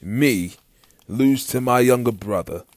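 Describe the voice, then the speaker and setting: A man's voice: medium pitch, takes it slowly, no echo, voice pushed up, close to an everyday voice. A man voicing a boastful, scornful young prince who is an elder brother in a fantasy story. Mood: scornful boasting. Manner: proud, taunting.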